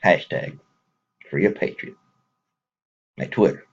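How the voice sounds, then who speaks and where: A man's voice: slow pace, muffled recording. A man with a Texas accent speaking casually to viewers in a folksy, rambling way, chuckling between thoughts.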